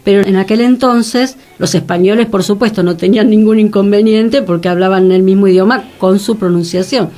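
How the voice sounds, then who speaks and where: A woman with a Rioplatense Argentine accent talks as a radio co-host. Her voice sits at a medium pitch and she explains her thoughts conversationally, in plain everyday words. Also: a little fast, few pauses, dark tone, soft background music.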